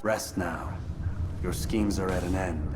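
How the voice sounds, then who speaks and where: An actor playing a stern, moralizing young assassin who speaks in a measured, solemn way in a video game. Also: male voice, low voice, steady tone, loud music behind the voice. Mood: stern, quiet. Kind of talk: video game cutscene acting. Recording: heavy background noise under game dialogue.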